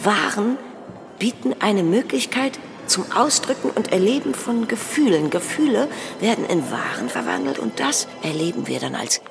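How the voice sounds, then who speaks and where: An actress playing a precarious culture worker in a declamatory spoken-word performance. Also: female voice, medium pitch, big pitch swings, normal speed, vocal fry. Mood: wistful, lecturing. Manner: declamatory, repetitive. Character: female precarious culture worker reciting slogans and theory. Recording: long hall reverb with loud music.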